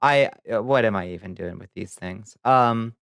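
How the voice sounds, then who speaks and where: A man hosting a livestream, chatting casually with viewers and commenting on news in a halting, profane, muttering way. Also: fairly low pitch, creaky, fairly bright tone, clear, resonant tone, dry recording, clean studio sound. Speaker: livestream host reading viewer chat.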